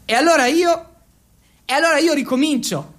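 A man playing a timid but excitable young dreamer, repeating himself and declaiming earnestly in a theatrical monologue. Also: extremely high pitch. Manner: halting, repetitive, breaking into declamation.

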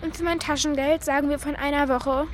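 Very high-voiced, persistent schoolgirl played by an actress. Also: fairly even intonation, a little fast, a little hiss or hum, lightly acted. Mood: pleading. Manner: wheedling, pushy. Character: persistent, pleading schoolgirl desperate for a pet. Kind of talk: acted audio drama for children.

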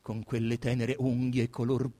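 A man with a Roman accent, an actor giving an intense, theatrical reading of a literary novel, swinging between hushed murmurs and loud declamation. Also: medium pitch, level tone, normal speed.

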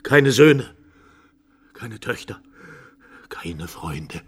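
An older man playing a frail, dying nobleman father in a radio drama, husky and creaky, lamenting in a slow, faltering way. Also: on the low side, very animated, exaggerated voice. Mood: anguished lament. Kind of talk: theatrical radio drama acting.

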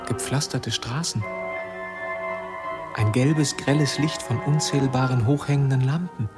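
A man's voice: mid-range voice, gravelly, roomy sound. An actor playing a forsaken, restless young man in a radio drama, brooding aloud in an intense, declamatory monologue. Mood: awed, lonely.

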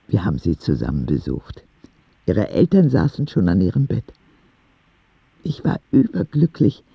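Husky, gravelly candid young letter-writer. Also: male voice, medium pitch, no room sound, muffled recording, full character voice. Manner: confiding, earnest, with naive directness. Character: ten-year-old sick boy writing candid letters to God. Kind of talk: intimate radio drama letter narration.

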